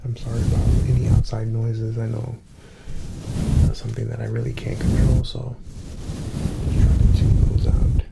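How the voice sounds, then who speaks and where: A very creaky, soft male voice that often slips toward a whisper, playing a doctor in an ASMR exam. He murmurs gently and reassuringly to the listener in short, unhurried phrases. Loud background noise sits behind him. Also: medium pitch, completely flat delivery. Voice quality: soft-spoken, breathy, often dropping to a whisper. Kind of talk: ASMR medical exam roleplay.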